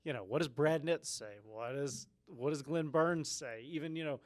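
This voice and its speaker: Jokey man co-host, higher voice. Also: no room sound, clean studio sound. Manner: jokey, quick back-channel replies, laughs along. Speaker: podcast co-host. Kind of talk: casual podcast chat. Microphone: virtual podcast, remote call.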